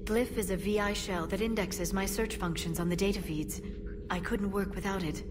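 A woman playing a composed, scholarly young alien in a video game, speaking with measured, precise diction. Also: medium pitch, steady tone, over music, close to an everyday voice. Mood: earnest, composed. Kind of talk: video game cutscene acting.

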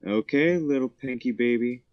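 A man's voice: mid-range voice, moderate pace. Dull lo-fi call, smarmy man. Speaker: caller. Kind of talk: casual call talk and vlog-style presenting. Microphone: voice chat call.

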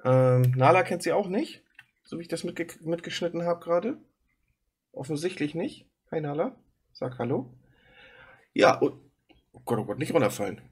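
Brief: male streamer, husky, lively pitch, casual reaction chatter. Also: moderate pace, pauses often. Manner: chatty, talks to his chat and pet. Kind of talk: casual live-stream commentary.

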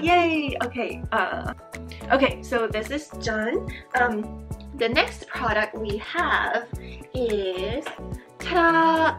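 Chatty, slightly hoarse young woman vlogger. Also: high-pitched, sharp tone. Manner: chatty and bubbly, repeats words. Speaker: beauty vlogger and teacher. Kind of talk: casual get-ready-with-me chat. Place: bedroom with dresser and fairy lights. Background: background music.

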